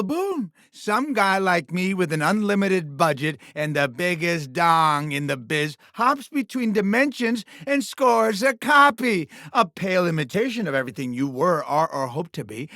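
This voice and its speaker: A man's voice: sits high, sharp tone, no room sound, clean studio sound, exaggerated voice. A man playing a sleazy, bombastic alien TV mogul villain in a video game, with theatrical, condescending showbiz patter.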